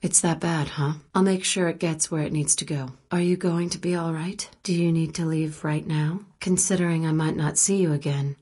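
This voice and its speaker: A woman's voice: deep voice, fairly even intonation, moderate pace, hardly any pauses, darkish tone, husky. An actress voicing a wry, probing, guarded woman journalist in a spy thriller video game, speaking in a dry, teasing, conversational way. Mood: guarded, earnest. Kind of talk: naturalistic video game dialogue acting.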